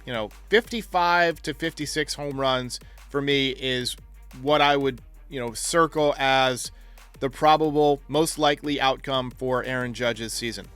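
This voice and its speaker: A man's voice: mid-range voice, steady tone, measured pace, fairly bright tone, clean tone, soft background music. A man hosting a sports talk show, giving opinionated commentary and reasoning aloud through his points. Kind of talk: sports commentary, answering caller voicemails.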